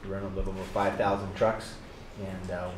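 A company executive introducing himself respectfully and briskly. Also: male voice, low voice, little pitch movement, noisy background. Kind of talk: formal self-introduction. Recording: echoey room, distant voice, crowd.

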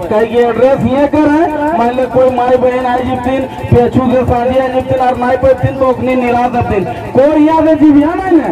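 A young man with a Jharkhand regional Hindi accent gives a fiery political rally speech into a handheld microphone outdoors under a tent. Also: very high voice. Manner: fiery, chant-leading, rhetorical. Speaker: rally speaker. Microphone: handheld microphone over loudspeakers, filmed by phone. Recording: distant, muffled PA sound with loud crowd.

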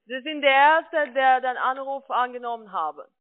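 A woman's voice: high voice, lively intonation, normal speed, dry recording. A woman who is not a native speaker, talking on the phone in a cheeky, evasive, repetitive way. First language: not German. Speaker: call-centre scammer. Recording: narrow phone-line sound.

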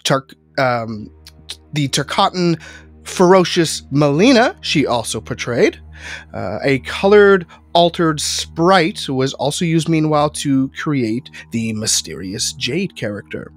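A young man co-hosting a podcast interview, congested while recovering from an illness, speaking haltingly with fillers into a headset microphone in a home studio. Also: on the high side, animated. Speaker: podcast co-host.

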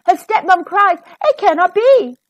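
Woman putting on a shrill, bossy wicked stepmother. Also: expressive, measured pace, dry recording, lo-fi sound. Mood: outraged.

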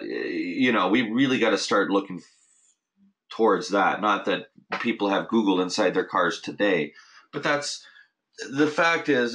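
A man with a notably low voice hosts a recorded webinar. He talks loosely and off the cuff, restarting sentences and leaning on fillers as he explains. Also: bright, forceful tone. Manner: casual, many restarts and "you know" fillers. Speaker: host of a weekly marketing update. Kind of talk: unscripted webinar talk.

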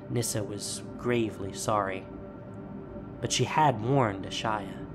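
A man narrating a fantasy audio drama in a steady, solemn storytelling voice. His pitch sits in a middle range, and music plays clearly beneath him.

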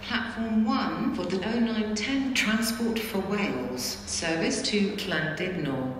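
A woman's voice: mid-range voice, takes it slowly. A woman reads formal automated railway station announcements in clipped, pieced-together phrases over a public-address system. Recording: echoing station PA, noisy, long reverb.

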